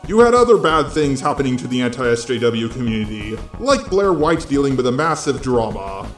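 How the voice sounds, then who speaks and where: A man's voice: mid-range voice, sing-song, fairly quick, roomy sound. A synthetic robotic male voice reading scripted video essay commentary. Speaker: host of a video commentary channel. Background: faint background music.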